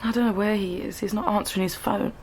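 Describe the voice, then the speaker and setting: An actress playing a wounded, bitter grown daughter in a radio drama, speaking with subdued resentment. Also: female voice, medium pitch, fairly even intonation, quick pace, vocal fry, a little mellow, a little hiss or hum, natural voice. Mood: guarded.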